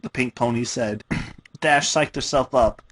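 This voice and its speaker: A man's voice: medium pitch, a little slow, a bit bright. A young man doing a dramatic reading of a story to friends, with casual asides. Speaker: reader of fan fiction to friends.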